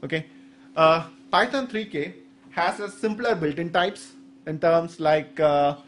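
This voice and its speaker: A man's voice: on the high side, a little slow, fairly bright tone. A man in his thirties with an Indian accent, a native Saurashtra speaker, giving a technical conference talk with hesitant explanations and many fillers. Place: medium indoor conference room. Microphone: stand microphone at the podium.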